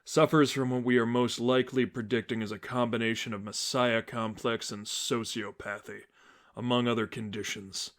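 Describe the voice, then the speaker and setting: A man voicing a stern, brooding masked vigilante mentor, speaking in a grave, terse, low-key way in a story reading. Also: little pitch movement, moderate pace, a bit bright, close to an everyday voice.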